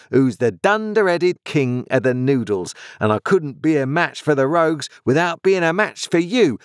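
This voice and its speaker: A man voicing a shrill, scolding married woman who works herself into a melodramatic rage in a storybook reading. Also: no room sound, studio quality, exaggerated voice. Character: shrill shrewish middle-aged married woman in a mounting rage.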